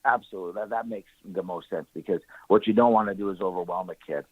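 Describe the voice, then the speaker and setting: A man's voice: sits low, fast talker, husky, no room sound. A man giving conversational sports analysis as a call-in guest, often repeating phrases for emphasis. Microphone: phone call-in. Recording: muffled narrow-band phone call, radio-like.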